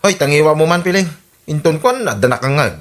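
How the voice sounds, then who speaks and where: A woman's voice: low voice, lively intonation, quick pace. A woman playing a sceptical, needling Ilocano husband in a comedy radio drama. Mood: disapproving.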